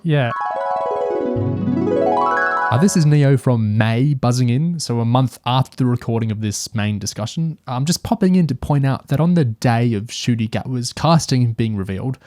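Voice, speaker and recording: A man's voice: medium pitch, dark tone. Man musing in casual podcast discussion. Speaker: podcast co-host.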